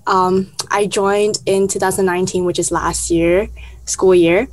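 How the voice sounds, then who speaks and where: Slow-spoken young woman from Hong Kong. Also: medium pitch, steady tone, dry recording, low-quality recording. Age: young adult. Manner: unhurried, hesitant. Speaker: student guest. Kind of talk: casual interview answers.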